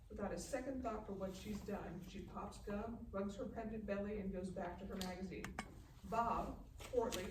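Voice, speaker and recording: A woman reading stage directions as scripted narration at a live table read. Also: medium pitch. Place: live festival event room. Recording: echoey, distant, noisy live room.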